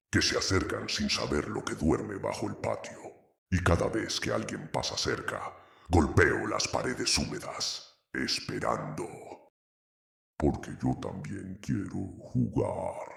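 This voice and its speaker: A man's voice: rumbling low, gravelly, reverberant, full character voice. Drowned ghost girl, as a man voices her.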